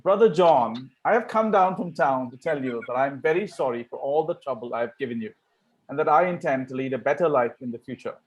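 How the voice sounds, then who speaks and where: A man playing a formal, mock-penitent young Victorian gentleman in a play read-through. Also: medium pitch, expressive, fairly quick, dull audio, close to an everyday voice. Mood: contrite. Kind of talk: amateur play table read.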